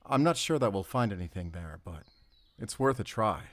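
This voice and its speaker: A man playing a weary, determined werewolf hunter in a cinematic audio roleplay, speaking pensively in short, halting phrases. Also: lowish voice, expressive, lightly acted. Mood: grim, thoughtful. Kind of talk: cinematic audio roleplay acting, addressing a silent companion. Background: cinematic ambient sound effects.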